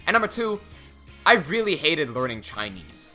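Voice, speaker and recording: A man's voice: a little high, sing-song, moderate pace, over music. A young man whose first languages are English and Cantonese, talking candidly and self-mockingly in a casual vlog, recorded in a small room. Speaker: language-learning YouTuber.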